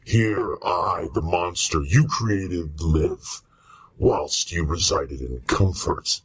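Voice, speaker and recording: Man acting deep, slow, lonely giant monster. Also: husky, no room sound, exaggerated voice. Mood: bitter. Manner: solemn, grandiose, deliberate delivery. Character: lonely, bitter male giant monster, loathing and pleading. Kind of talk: old-time radio horror acting.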